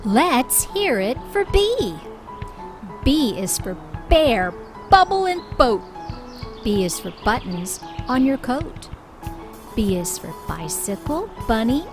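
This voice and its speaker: A woman reading a storybook aloud for young children in an expressive, deliberate way, drawing out key words. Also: fairly high pitch. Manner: expressive, deliberate, with drawn-out emphasis. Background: background music.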